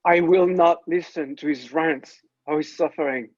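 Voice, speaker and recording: A man's voice: fairly high pitch, moderate pace, a little mellow, dry recording, lo-fi sound, natural voice. A man playing an intense, impatient Roman emperor's son in a drama table read, with earnest, theatrical delivery. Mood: commanding, impatient.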